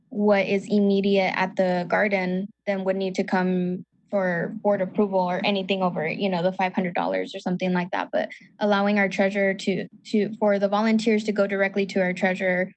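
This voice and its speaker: A woman board member explaining a proposal in a rambling way with many fillers. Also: mid-range voice. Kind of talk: casual meeting talk.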